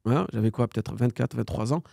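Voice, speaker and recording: A man's voice: medium pitch, fairly quick, vocal fry, breathy edge, no echo, studio quality. A man in his thirties, a podcast guest, telling a personal story in a casual conversation, acting out the dialogue and mixing in Arabic phrases. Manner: animated storyteller, acts out quoted dialogue, sprinkles in Arabic phrases.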